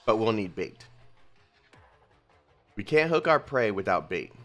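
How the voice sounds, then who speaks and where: A calm male squad leader lays out the infiltration plan, read by a man in his own plain voice. The delivery is steady and instructive, with a lively rise and fall. Faint music plays under it. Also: fairly low pitch, a little slow.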